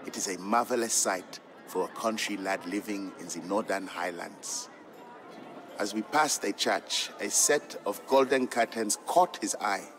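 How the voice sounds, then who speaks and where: Low-voiced trader father telling legends. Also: a bit bright, slight background noise, rough recording. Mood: reflective, storytelling. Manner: measured, fond storytelling. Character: Ethiopian trader and father, patient storyteller of legends. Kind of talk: scripted storybook narration in a game. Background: background music.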